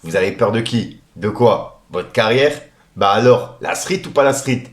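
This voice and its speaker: Man, rap news host, low voice, slangy and casual, roomy echo. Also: lively intonation, normal speed. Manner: casual street slang, reads posts aloud.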